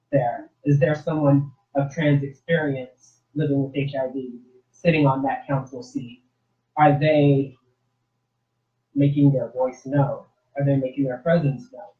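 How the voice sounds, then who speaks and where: A guest on a radio discussion speaking in a steady, rallying tone, building to emphatic declarations. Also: medium pitch. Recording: echoey, muffled sound.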